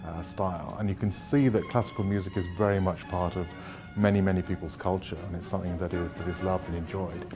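A middle-aged man, a composer, speaking articulately and descriptively in an interview. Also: sits low. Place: room, indoors.

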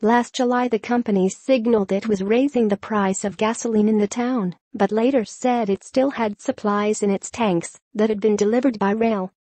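A woman's voice: medium pitch, steady tone, normal speed, few pauses, mellow, no room sound. A woman reading a scripted news article in an impersonal, neutral way, with a synthetic text-to-speech sound.

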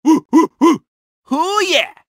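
A man's voice: extremely high pitch, animated, slow, no room sound, studio quality, heavily acted. A man voicing a vain, cocky young street-racer car in a video game, talking in boastful slang with lots of exclamations. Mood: excited. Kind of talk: video game character barks.

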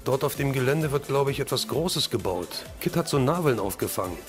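A man's voice: medium pitch, expressive, a little fast, music in the background, natural voice. A man playing a determined, serious crime-fighting hero in an audio drama. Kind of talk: German fan audio drama acting.

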